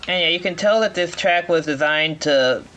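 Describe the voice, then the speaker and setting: A man's voice: fairly high pitch, normal speed, a bit bright, slight background noise. A man giving live commentary while he plays through a racing game speedrun, explaining as he goes, correcting himself and reacting to his mistakes.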